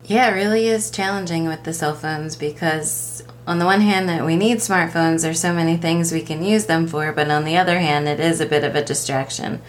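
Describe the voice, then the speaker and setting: A woman interviewing a guest with prepared questions, polite, measured and affirming. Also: low-pitched, fairly even intonation, a little hiss or hum. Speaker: podcast interviewer.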